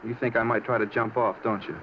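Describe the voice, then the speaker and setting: An actor in a 1950s radio drama playing a moody, self-justifying murder suspect who rambles insistently and casually. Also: male voice, lowish voice, steady tone, fast talker, natural voice. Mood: plaintive self-justifying. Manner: rambling, insistent, casual about his crime. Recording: muffled 1950s radio, faint noise.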